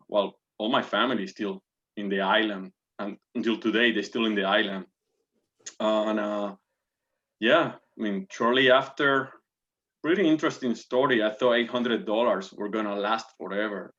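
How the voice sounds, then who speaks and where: A man's voice: on the low side, fairly bright tone. A man in his forties from Puerto Rico with a Puerto Rican Spanish accent, a guest answering interview questions earnestly and politely, with many fillers and restarts. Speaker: guest, navy officer. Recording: dull lo-fi audio.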